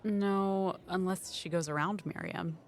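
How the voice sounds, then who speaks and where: A woman's voice: on the low side, measured pace. A woman acting in an audio drama as a shrewd, suspicious colleague who explains things in a dry, matter-of-fact way. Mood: knowing. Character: shrewd, knowing female colleague, quietly suspicious investigator.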